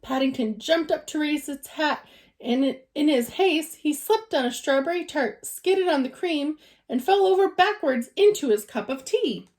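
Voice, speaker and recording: Woman, fairly high voice, very lively intonation, children's storybook narration, expressive teacher read-aloud. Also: a little slow.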